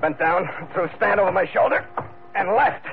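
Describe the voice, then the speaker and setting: A man's voice: high voice, expressive, moderate pace, creaky. An actor playing a tough, hardboiled ship captain narrating his adventures in terse old-time radio drama style. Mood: grim, measured. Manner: terse, gritty, clipped sentences. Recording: tinny vintage radio broadcast, music underneath.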